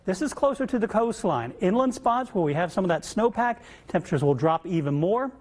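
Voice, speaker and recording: High-voiced male TV weather forecaster. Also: animated, fairly quick, darkish tone. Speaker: TV meteorologist. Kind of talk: live broadcast weather forecast.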